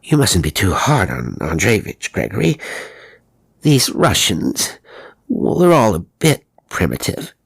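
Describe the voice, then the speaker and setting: A man's voice: fairly low pitch, huge pitch swings, measured pace, gravelly, husky, no room sound, heavily acted. A man voicing a flustered, gracious English society hostess with a genteel British accent. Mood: hushed, placating. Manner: fluttery, genteel, confiding.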